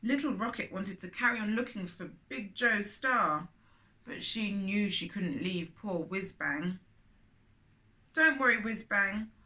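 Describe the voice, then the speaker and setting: A woman's voice: medium pitch, a little slow, bright, forceful tone. A woman hosting a children's storytime, reading a picture book aloud expressively with chatty asides.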